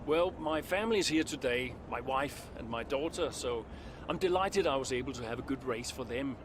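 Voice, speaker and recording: A man's voice: mid-range voice, animated, normal speed, background noise, lightly acted. An actor playing a weary, insecure and defensive veteran male racing driver in a naturalistic video game cutscene. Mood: composed, guarded.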